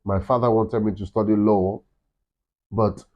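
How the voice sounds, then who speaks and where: A Nigerian man with a deep voice, a campus radio director chatting in an interview and telling stories with many "you know"s. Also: fairly even intonation, measured pace. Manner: rambling storytelling, frequent "you know". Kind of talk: casual interview chat. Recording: dull lo-fi sound.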